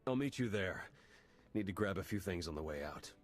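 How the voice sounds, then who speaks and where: A man's voice: low-pitched, animated, fairly quick, soft background music. An actor playing a wry, weary male spy and hacker in a video game cutscene, talking dryly and with urgency. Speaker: spy and slicer.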